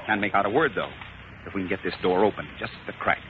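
An actor playing a brisk, decisive space-guard colonel in 1950s radio style, with a clipped, commanding manner. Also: male voice, on the low side, lightly acted. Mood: hushed. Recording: tinny, noisy 1950s radio recording.

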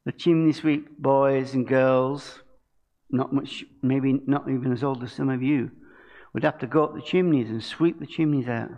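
A man's voice: medium pitch, fairly even intonation, moderate pace, darkish tone, highs cut off. An older man giving an informal sermon from a lectern in a church, chatting and asking the congregation questions. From England. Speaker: preacher. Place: church hall stage at a lectern.

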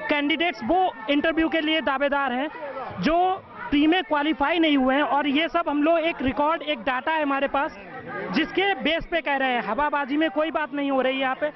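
A man's voice: extremely high pitch, brisk. A young man, a protesting exam candidate, speaking fervently and insistently into a handheld mic outdoors among a crowd. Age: young adult. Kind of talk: impassioned interview statement. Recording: dull sound, crowd behind.

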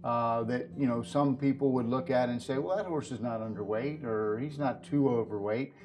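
A middle-aged American man, a guest farrier, explaining things earnestly in a wordy, halting way in an interview. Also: medium pitch, a little mellow, faint music behind. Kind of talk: explanatory interview talk about horse nutrition products. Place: indoor video set at a display table.

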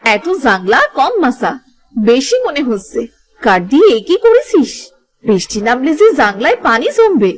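A woman's voice: on the high side, sing-song, normal speed. A woman voicing a scolding, sarcastic, exasperated village mother in a cartoon. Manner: nagging, sarcastic. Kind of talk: cartoon voice acting.

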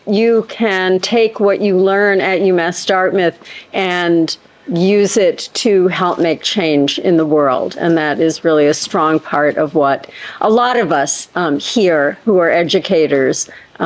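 A woman's voice: on the low side, slow pace. A woman educator moderating a conference panel, introducing the next speaker in a loose, filler-heavy way. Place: conference room with panel table.

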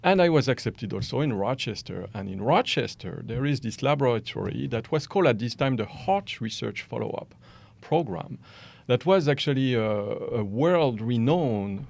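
A man's voice: medium pitch, normal speed, gravelly. A man in his forties from France with a French accent, a guest in a podcast interview, talking with lots of fillers and hand gestures in a studio. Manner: animated, gestures with both hands, hesitant fillers. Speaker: guest, company founder. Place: small dark studio set, seated in armchair. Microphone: studio microphone on boom stand.

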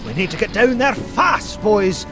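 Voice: mid-range voice, very animated, a little slow, over loud music. A performer voicing an exasperated, scrappy male duck prospector in a cartoon comic dub, exclaiming in stretched-out words. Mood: urgent.

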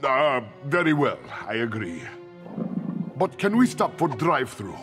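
An actor playing a haughty, ancient super-powered tyrant in a video game, speaking formally and pompously. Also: male voice, medium pitch, sing-song, unhurried, fairly bright tone, soft background music. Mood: aloof.

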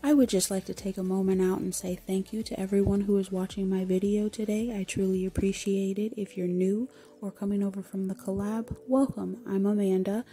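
Warm, slightly husky woman host. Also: medium pitch, little pitch movement, fairly quick. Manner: chatty, encouraging, step-by-step. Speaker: cooking channel host. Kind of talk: friendly recipe voice-over.

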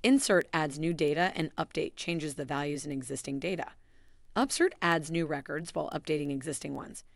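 A woman giving a scripted, even voice-over that walks through software steps. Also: sits low, fairly quick, gravelly, dry recording, studio quality. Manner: even, instructional delivery. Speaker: software tutorial narrator.

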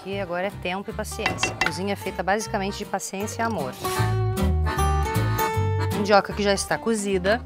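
A woman's voice: medium pitch, fairly even intonation, brisk, long pauses. Chatty Brazilian woman cooking, music behind. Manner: chatty, informal, explaining step by step. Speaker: cooking show host. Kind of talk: casual cooking demonstration. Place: open-sided thatched outdoor kitchen. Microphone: lapel.

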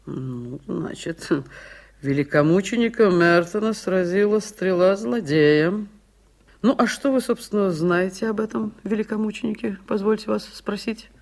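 An actress in a Soviet radio play playing an embittered heiress turned prophetess, mocking and theatrical. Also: female voice, medium pitch, a little slow, darkish tone, lightly acted. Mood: mocking, bitter.

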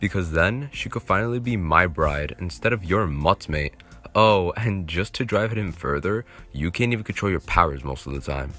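A man acting a taunting, spiteful male vampire rival in an animated roleplay drama. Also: deep voice, normal speed, exaggerated voice.

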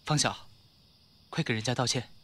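A man's voice: medium pitch, normal speed, bright, forceful tone. A man playing a mild, reserved young professional in an audio drama, speaking in a polite, understated, placating way. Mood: apologetic. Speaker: voice actor in a fan audio drama. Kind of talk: Chinese audio drama acting.

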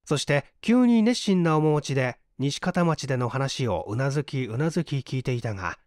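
A man's voice: medium pitch, lively intonation, normal speed, a little mellow, no room sound, studio quality. A man narrating a literary story aloud in a dramatic reading style. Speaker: audiobook narrator and stage performer.

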